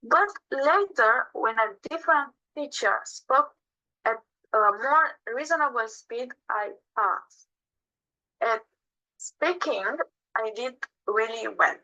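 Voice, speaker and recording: A young woman whose first language is Romanian, telling her story of learning English at a webinar in earnest, slightly halting English. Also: a little high, expressive, pushed and bright, dull audio. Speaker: webinar speaker. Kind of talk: personal testimony read aloud.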